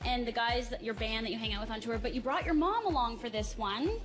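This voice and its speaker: A woman's voice: a little high, fast talker. A woman hosting a live TV show, peppy and teasing as she interviews a guest. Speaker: TV show host. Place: live TV studio.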